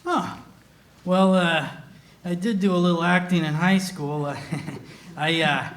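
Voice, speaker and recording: A voice actor playing a slow-witted, good-natured adult man, halting and full of uh fillers. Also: high-pitched, steady tone, fairly bright tone. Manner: halting, with many uh fillers and chuckles. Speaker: voice actor at a table read.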